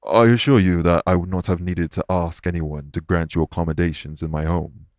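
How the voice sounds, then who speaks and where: A man playing a courteous, dour immortal king in an audio roleplay, speaking in a formal, measured way. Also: low voice, fairly even intonation, a little mellow, lightly acted. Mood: courteous, reassuring. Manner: formal, measured, gravely polite. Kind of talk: immersive audio roleplay acting addressed to listener.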